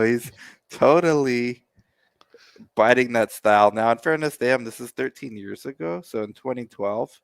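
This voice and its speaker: A man's voice: fairly low pitch, creaky, no room sound. A man, co-hosting a casual music reaction show, joking and sarcastic as he rambles. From United States.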